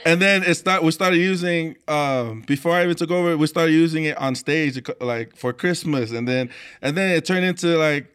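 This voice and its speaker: A man whose first language is Tongan, telling personal stories in a casual interview in a halting, reflective, conversational way. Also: a little high, a bit bright, clean tone. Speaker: church choir leader and worship singer.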